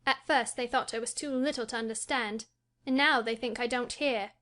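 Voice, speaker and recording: A woman's voice: a little high, steady tone, normal speed, bright, forceful tone, voice pushed up. A woman voicing a sickly, spoiled ten-year-old boy who talks in a demanding, cross and indifferent way. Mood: imperious. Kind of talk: audiobook character voice.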